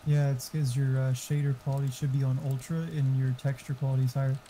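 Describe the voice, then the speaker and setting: Muffled, very dark-voiced male gamer. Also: mid-range voice, level tone, fast, slight background noise. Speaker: fellow player. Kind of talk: casual gaming chat.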